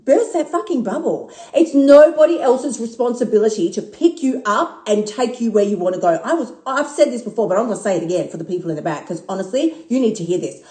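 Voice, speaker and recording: A woman's voice: a little high, expressive, quick pace, few pauses, dark tone, roomy sound. A woman in her fifties giving a blunt, casual motivational talk to viewers, swearing freely and laughing often. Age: 50s. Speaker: vlogger and motivational speaker.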